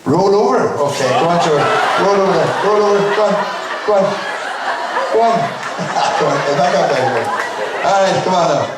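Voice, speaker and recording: A man's voice: sits high, animated, moderate pace, a loud crowd. A man performing live on stage, bantering with the people around him. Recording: very echoey noisy theatre, distant microphone. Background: loud audience noise.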